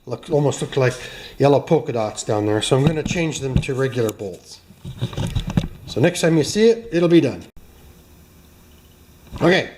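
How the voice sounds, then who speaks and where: A man's voice: mid-range voice, expressive, normal speed, pauses often, background noise. A man hosting a workshop video, chatting casually and joking with viewers while he works in a garage. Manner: chatty, self-mocking, talks to viewers while working.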